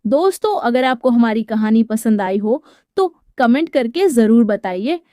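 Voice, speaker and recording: Woman, dramatic story narration, scripted, mellow tone, dry close voice-over. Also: a little high, animated, a little slow, no echo.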